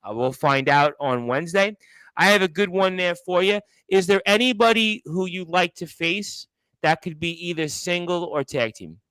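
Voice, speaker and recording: A man's voice: high-pitched, normal speed, pushed and bright, clean tone, no room sound, rough recording. Chatty New man hosting, dry sound. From Brooklyn, New York. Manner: chatty, rambling, repeats himself. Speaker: radio show host. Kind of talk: casual interview chat.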